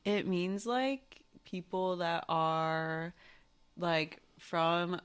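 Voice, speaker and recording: A woman from the Bay Area talks as an interview guest in a slightly low voice. She is easygoing and laughs often, with drawn-out pauses while she hunts for words. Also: fairly even intonation, clear, resonant tone. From San Francisco Bay Area. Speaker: guest artist. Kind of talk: casual interview chat.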